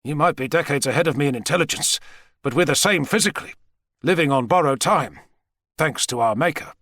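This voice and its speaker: A man's voice: fairly high pitch, a little fast, fairly bright tone, dry recording, clean studio sound, pitched above the performer's own voice. A man voicing a brash, megalomaniac president with a boastful, folksy manner in an audiobook thriller. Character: American president, brash, heavy-set, megalomaniac schemer.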